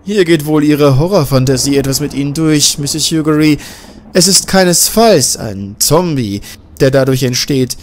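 A man voicing a smug, formal male scientist in a castle in a self-made audiobook, speaking in a measured, condescending way. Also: on the high side, lively intonation, a little mellow, a little hiss or hum, lightly acted. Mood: lecturing. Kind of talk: audiobook character voice.

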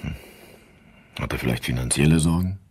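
An actor playing a calm, probing police inspector in a German crime radio play, measured and matter-of-fact. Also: male voice, rumbling low, slight background noise. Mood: businesslike, probing. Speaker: actor in an audio drama.

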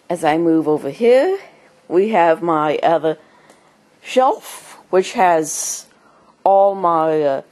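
A woman with a cold and a speech impediment, giving a casual home tour in halting, choppy phrases. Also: fairly low pitch, a little mellow. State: has a cold, clearing throat. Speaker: amateur video blogger and hobby writer. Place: small home computer room. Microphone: handheld camera held by the speaker.

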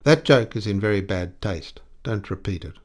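A man's voice: low voice, fairly even intonation, moderate pace, close to an everyday voice. A stuffy colonial station chief from a storybook, acted out by a man reading aloud. He is anxious and indignant, holding on to a stiff composure as he strains for dignity. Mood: stiff indignation. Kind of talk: dramatic storybook character reading.

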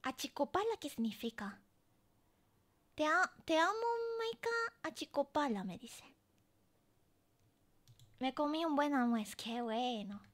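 A woman's voice: sits high, expressive, unhurried, pauses often. A woman streamer chatting casually and playfully with her viewers during a live game event. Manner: chatty and playful, talking to chat.

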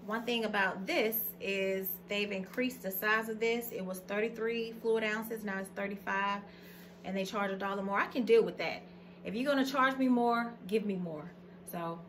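There is clear background noise on this home recording, picked up by a camera mic in a plain room. An American woman with a medium-pitched voice talks in a casual, folksy, conversational way, like a vlogger presenting items to her viewers. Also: fairly bright tone. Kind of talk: casual product haul chat to camera.

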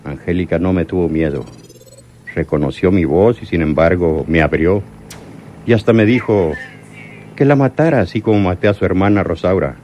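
An actor playing a menacing, lovesick crime boss in a radio drama, speaking deliberately and bitterly. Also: male voice, deep voice, muffled recording, lightly acted. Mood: bitter, self-reproaching. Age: older. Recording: noisy old radio drama recording.

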